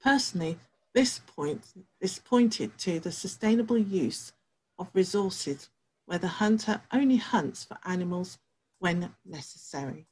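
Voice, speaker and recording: A middle-aged woman researcher reading a prepared talk from her home study, measured and careful, with pauses. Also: fairly low pitch, animated, a little mellow, slightly rough, low-quality recording.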